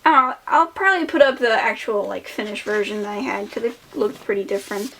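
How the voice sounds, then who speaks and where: A woman's voice: medium pitch, fast talker, fairly bright tone, slight background noise, low-quality recording. A woman in her late teens with a lisp, giving casual, rambling, self-deprecating commentary as an artist showing sketchbooks.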